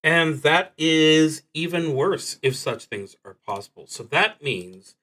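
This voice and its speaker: Bright, forceful man narrating a solo game. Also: a little high, animated, slow. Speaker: solo tabletop roleplaying streamer. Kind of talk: live play narration, thinking aloud.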